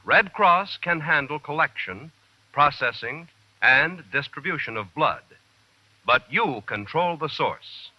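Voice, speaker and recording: Male American network announcer, 1950s delivery, fairly high and animated, muffled vintage recording. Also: slow, no room sound. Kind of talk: 1950s radio announcing, scripted. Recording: dull vintage radio recording.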